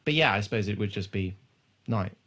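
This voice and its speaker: A man with a bright, forceful voice hosts a casual, joking podcast chat. His pitch swings around in a lively way, and he swears freely and laughs often as he talks. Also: brisk, a little hiss or hum. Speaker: podcast host. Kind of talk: casual comedic chat about a TV show.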